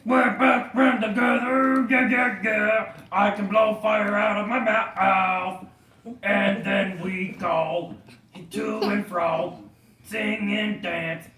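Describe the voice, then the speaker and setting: A woman's voice: medium pitch, steady tone, takes it slowly, fairly bright tone. A woman voicing a childlike, forgetful and vain dragon in an improvised puppet show, stammering and bursting out dramatically. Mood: gleeful, silly. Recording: echoey room, distant mic, faint noise.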